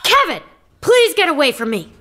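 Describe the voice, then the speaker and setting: An actress playing an exasperated officiant trying to keep order at a memorial service. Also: female voice, very high voice, moderate pace, a little hiss or hum, exaggerated voice. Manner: exasperated, stern, lively. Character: frazzled woman officiant losing control. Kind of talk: radio play acting.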